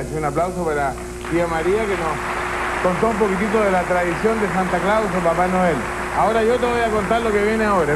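A man in his fifties hosting a TV variety special in a studio, introducing guests and calling for applause. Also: background noise. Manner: booming presenter introducing acts. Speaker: host. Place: TV studio stage.

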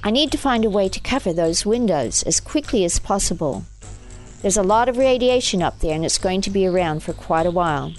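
A woman's voice: mid-range voice, animated, a little fast, darkish tone, soft background music, lightly acted. A woman voicing a pragmatic, impatient young older sister in an audiobook, giving urgent commands. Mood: commanding. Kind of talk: audiobook character voice.

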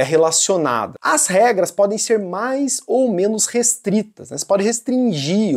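A woman's voice: fairly low pitch, darkish tone, slightly rough. A Brazilian woman presenting a board game tutorial and review in an informal, conversational way, often checking in with short tags. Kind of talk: casual explanatory tutorial and review.

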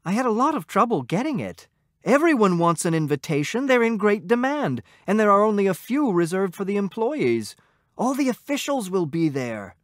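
A man reads a meek government clerk husband, stammering and pleading as he tries to please. The voice rides up high and lively. The sound is dry and close. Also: normal speed, dry recording. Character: timid devoted middle-aged clerk husband.

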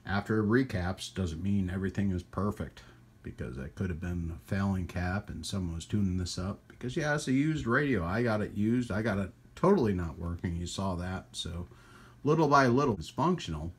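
A man hosting a hobby radio channel in a casual, rambling hands-on demonstration, with folksy trailing asides and a husky voice. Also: deep voice, expressive, normal speed, a little hiss or hum. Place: indoors at a radio workbench.

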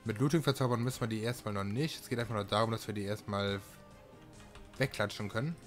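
A man's voice: lowish voice, fast talker. A man giving casual let's-play commentary as a gaming video host, thinking aloud while he plays. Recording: music behind the voice.

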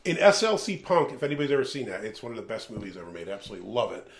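A man's voice: lowish voice, racing. A man in his forties from the American Northeast, hosting a beer review show in casual, jokey, rambling chat. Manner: animated, jokey, rambling asides. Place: small room lined with beer shelves.

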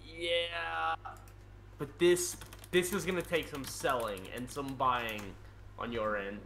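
A man's voice: on the high side, fairly even intonation, takes it slowly, a bit bright, a little hiss or hum. A man hosting an online card game with friends, talking casually and swearing freely. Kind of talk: casual profane gaming banter.

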